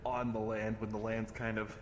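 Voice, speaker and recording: A man's voice: fairly low pitch, flat delivery, normal speed. A man chatting casually as a player in an online roleplay game, on a VR headset mic. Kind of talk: casual roleplay banter in VRChat. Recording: roomy echo, faint background noise.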